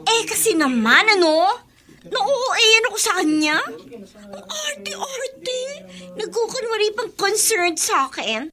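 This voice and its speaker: A woman's voice: extremely high pitch, big pitch swings, takes it slowly, a crowd in the background, heavily acted. A woman playing a snobbish, whiny teenage girl in a radio drama. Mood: haughty, whiny. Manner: whiny, haughty, exaggerated exclamations. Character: snobbish, bratty teenage girl, disdainful of poorer schoolmate. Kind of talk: Filipino radio drama acting.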